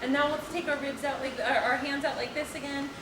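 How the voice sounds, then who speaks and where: A woman giving a lively presentation on posture and breathing to a live audience in a meeting room, guiding them through movements. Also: high-pitched, a little fast, background noise. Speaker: posture and breathing teacher giving a workplace talk.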